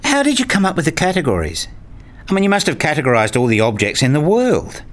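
A man's voice: on the high side, huge pitch swings, fast. A man playing a nerdy, wry father who narrates his own story in an audio drama. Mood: curious. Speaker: narrator and lead actor in a radio play. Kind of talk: first-person audio drama narration and dialogue.

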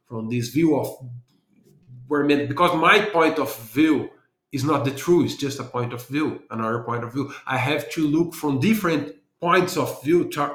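A man's voice: mid-range voice, a bit bright. A man from Brazil with a Brazilian accent, answering interview questions thoughtfully and repeating words as he restarts his sentences. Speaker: guest consultant and trainer. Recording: roomy echo.

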